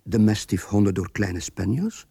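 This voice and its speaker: A man narrating a period radio drama in measured, literary Flemish-accented Dutch. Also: lowish voice, lively intonation, gravelly, warm tone, breathy edge, no echo, studio quality, exaggerated voice. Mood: measured narration. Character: measured male storyteller of a period tale.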